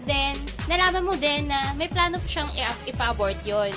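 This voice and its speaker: A Filipino woman with a high voice asks a question in a casual way. Music plays clearly under her Filipino-accented speech. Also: expressive, a little slow. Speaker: question asker on radio segment. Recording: music bed over voice.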